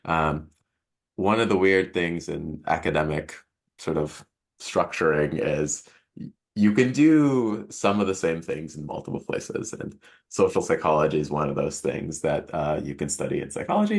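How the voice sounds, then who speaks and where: Man in his thirties from jamaica, very low pitch, gravelly fry, thoughtful, laughs lightly, many ums. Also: big pitch swings, normal speed. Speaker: professor guest. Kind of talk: casual interview answers.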